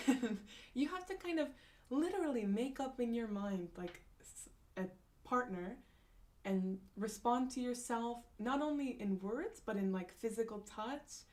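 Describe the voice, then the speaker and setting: A young woman in casual conversation, talking at her desk mic while streaming from home. Her voice sits a little high, and she runs on with fillers, restarts and quick laughs as she answers viewers' comments. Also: darkish tone. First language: not Japanese. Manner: chatty, many fillers and restarts, frequent laughs. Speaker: live-stream host. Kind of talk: casual live-stream chat reading comments.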